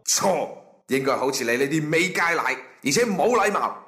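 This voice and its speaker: An actor playing a stern, scornful company interviewer in a comic radio drama, formal and lecturing, with a Guangzhou Cantonese accent. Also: male voice, fairly high pitch, expressive, normal speed, pushed and bright. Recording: echoey room.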